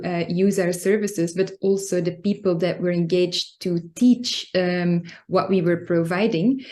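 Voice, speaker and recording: Woman, mellow dark tone, mid pitch, earnest explanatory talk, frequent hesitant pauses. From Belgium. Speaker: guest speaker, coach and club secretary. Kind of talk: informal prepared talk on workplace values.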